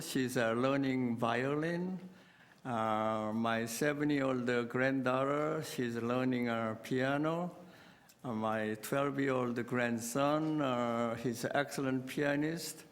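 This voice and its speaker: Older man, slightly high voice, halting and earnest, many fillers, council chamber reverb. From South Korea. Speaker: city councillor. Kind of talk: committee meeting speech.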